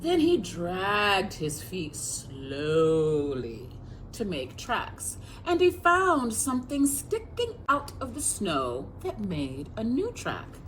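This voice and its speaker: A woman's voice: mid-range voice, wildly swooping pitch, slow pace, a bit bright, a little hiss or hum, rough recording. An American woman reading a picture book aloud as a children's librarian, expressively, speaking directly to young listeners.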